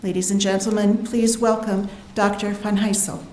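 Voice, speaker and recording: A woman's voice: medium pitch, little pitch movement, normal speed. A woman formally introducing speakers as host of a ceremony. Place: large auditorium stage. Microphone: podium microphone. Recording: echoey hall, faint noise.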